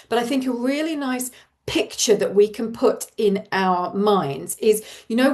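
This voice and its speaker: A woman in her forties with an Australian accent, a parenting coach giving an online workshop in a warm, reassuring, chatty way with fillers. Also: a little high.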